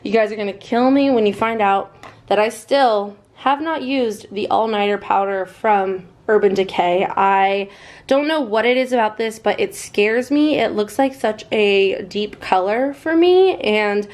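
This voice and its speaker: A young American woman with a slightly hoarse voice, chatting casually through a makeup vlog. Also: medium pitch. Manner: chatty, upbeat, fast-talking. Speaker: beauty vlogger.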